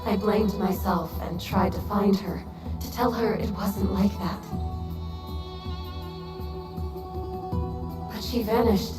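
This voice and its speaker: Actress as a grave, reflective female mentor for a fantasy game, earnest and sorrowful, mid pitch, music underneath. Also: steady tone, measured pace, roomy sound, close to an everyday voice. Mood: remorseful. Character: sorrowful, thoughtful woman mentor and researcher, now a soul. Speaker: voice actor. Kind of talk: dramatic game voice acting.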